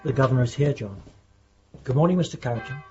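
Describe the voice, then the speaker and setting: An actor playing a troubled, guilt-laden clergyman in a radio drama, solemn and measured, with a husky voice. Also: medium pitch, darkish tone, dull audio, soft background music, lightly acted. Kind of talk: broadcast radio drama acting.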